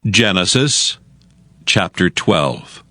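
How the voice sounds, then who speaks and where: A man narrating scripture from a script in a measured, formal manner. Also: sits low, expressive, bright, forceful tone, no echo. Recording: music behind the voice.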